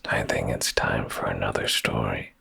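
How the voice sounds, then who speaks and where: An extremely low-voiced man reads a bedtime story as ASMR, heavy with vocal fry. He is soothing and intimate, and his hushed delivery is very breathy, often slipping into a whisper. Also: moderate pace. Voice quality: very deep, breathy, gravelly. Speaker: ASMR streamer reading bedtime stories.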